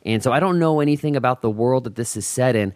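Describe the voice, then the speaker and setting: A man's voice: medium pitch, fast talker, a little mellow, no echo. A man hosting a podcast interview in a casual, conversational style. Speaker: podcast host.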